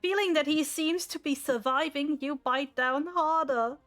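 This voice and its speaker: A woman's voice: high voice, a little slow, fairly bright tone, faint music behind. A woman acting as game master, narrating and guiding an online tabletop roleplay.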